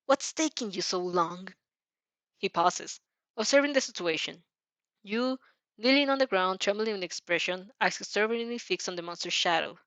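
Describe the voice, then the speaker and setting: A woman narrating a fan fiction story dramatically, with an expressive, somewhat halting delivery. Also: medium pitch, no echo.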